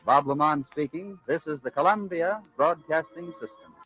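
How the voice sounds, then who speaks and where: A man's voice: on the high side, normal speed, husky, faint music behind. An American man working as a 1940s radio announcer, reading scripted copy in a formal, polished manner. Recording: dull lo-fi 1940s radio recording.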